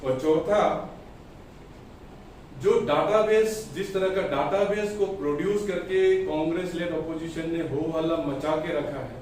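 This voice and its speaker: Echoey, high-pitched man making a political statement. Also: darkish tone. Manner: emphatic, deliberate, in short phrases with rhetorical questions. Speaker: political party spokesperson. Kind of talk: press conference political statement. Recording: echoey room, distant mic, faint noise.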